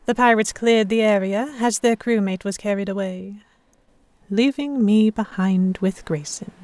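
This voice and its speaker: Audio drama heroine, performed by a woman: delicate noblewoman telling her story, emotive, medium pitch, very dry recording. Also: unhurried, darkish tone, no echo, lightly acted. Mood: tense, reflective. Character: delicate young noblewoman, vexed, earnest, stubborn romantic heroine. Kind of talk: first-person dramatic audiobook narration.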